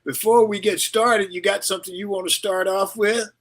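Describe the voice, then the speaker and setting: Male talk-show host, slightly high voice, loose and chuckling between scripted intros. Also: steady tone, normal speed, fairly bright tone. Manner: loose, chuckling, trails off into asides. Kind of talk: casual radio talk.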